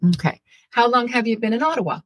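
A woman's voice: on the high side, expressive, fast. A woman hosting a career interview as a career development practitioner, who talks in a chatty way and often says "kind of". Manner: chatty, with many uses of "kind of".

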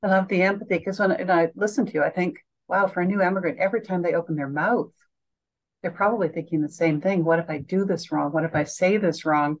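A woman's voice: lowish voice, fast, low-quality recording. A woman hosting a conversational interview in a reflective, affirming way. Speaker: interview host.

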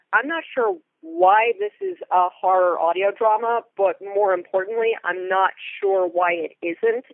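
A woman's voice: mid-range voice, no room sound, narrow, tinny audio. A woman giving enthusiastic, articulate interview answers in a conversational way, thinking aloud as she goes. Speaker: theatre company member and Foley artist guest.

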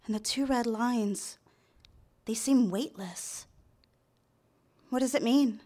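An actress playing a nervous, eager young secretary smitten with her boss, halting and deferential, in a live radio drama. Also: fairly high pitch, dark tone. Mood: earnest, admiring. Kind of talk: live radio drama acting with first-person narration. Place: theater stage, live show.

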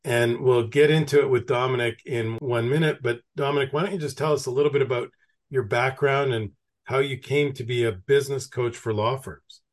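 A man's voice: mid-range voice, fairly even intonation, brisk. Chatty male podcast host from Canada. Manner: chatty, anecdotal, asks long questions. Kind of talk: podcast interview.

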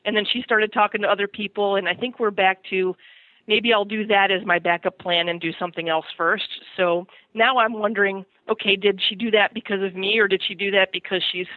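A woman's voice: mid-range voice, fairly even intonation, a little fast, no echo, phone-quality sound. A middle-aged woman telling personal stories casually on a podcast, candid and self-deprecating, over thin call audio. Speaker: podcast segment host.

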